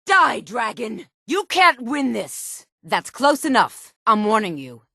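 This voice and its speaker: A woman's voice: on the high side, very animated, takes it slowly, gravelly, pushed and bright, dry recording, clean studio sound, full character voice. An actress voicing a sultry, haughty fantasy townswoman for a video game, flirtatious and cutting in a theatrical drawl. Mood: fierce, taunting. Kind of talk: video game voice lines, fantasy dialogue and combat barks.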